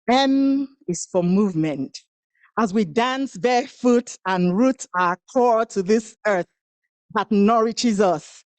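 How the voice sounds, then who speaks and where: A woman's voice: medium pitch, a little mellow, no room sound. A woman whose first language is Igbo, giving a rhythmic, incantatory spoken-word poetry performance at a women's event. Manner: rhythmic, incantatory delivery with long pauses.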